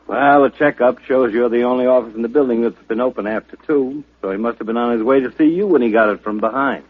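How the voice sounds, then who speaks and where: Guy playing no-nonsense cop, rough-edged voice, old radio show, very narrow tinny sound. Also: medium pitch, fast talker, no echo, close to an everyday voice. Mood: businesslike. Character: businesslike police lieutenant at a crime scene. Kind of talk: 1940s-50s radio acting. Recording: old radio broadcast, very narrow muffled band.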